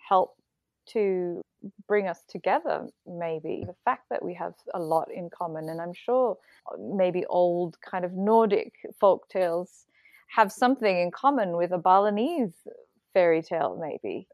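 A woman's voice: lowish voice, a little mellow, no room sound. Reflective young woman from Bali, dry sound. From Indonesia (Bali). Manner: reflective, thoughtful, with hesitations. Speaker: guest. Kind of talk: casual conversation.

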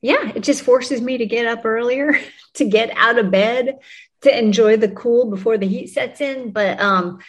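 A woman's voice: fairly high pitch, unhurried. Woman guest from New Mexico; easygoing, chatty delivery full of ums and laughs. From New Mexico, USA. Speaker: podcast guest, music publicist. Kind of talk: casual conversational interview.

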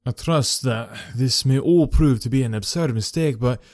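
A man's voice: mid-range voice, moderate pace, darkish tone, no echo, studio quality, lightly acted. A man voicing an earnest, easily startled police inspector in audiobook dialogue, with an official, protesting manner. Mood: reluctant, formal.

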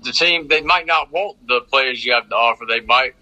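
A very bright, forceful male voice with a North Carolina Southern accent. He talks sports casually as a fan, rambling along and doubling back on phrases for emphasis. The pitch sits in an ordinary middle range. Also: moderate pace, rough recording. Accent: North Carolina Southern American. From North Carolina, United States.

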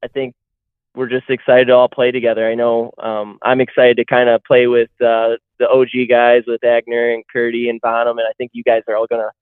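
A man's voice: mid-range voice, monotone, brisk, smooth, dry recording. A young man calling in as a guest on a podcast, chatting loosely with lots of fillers. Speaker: guest athlete. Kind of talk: casual podcast interview. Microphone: phone call. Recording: tinny phone line.

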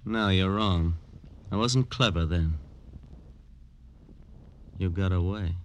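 An actor playing a delusional, lovesick killer in a 1950s radio crime drama, childlike, insistent and self-justifying. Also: male voice, low voice, slow. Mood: cold, menacing. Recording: old radio recording with faint noise.

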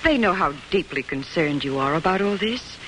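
An actress playing a gentle, devoted sea captain's wife in a mid-century radio drama, speaking tenderly and earnestly. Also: female voice, on the low side, animated, normal speed, close to an everyday voice. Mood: comforting. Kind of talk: 1950s radio drama acting. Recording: noisy old radio broadcast recording.

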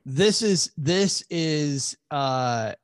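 A man's voice: on the high side, lively intonation, extremely slow, smooth, dry recording, studio quality. A man, a financial advisor guest on a podcast interview, explaining earnestly with frequent stammered restarts.

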